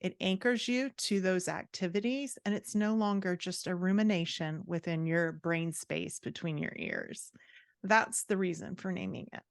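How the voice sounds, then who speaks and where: A woman's voice: lowish voice, moderate pace, gravelly, no echo. Woman guest explaining things conversationally, dry sound. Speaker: guest author and writing coach. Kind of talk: conversational interview answers.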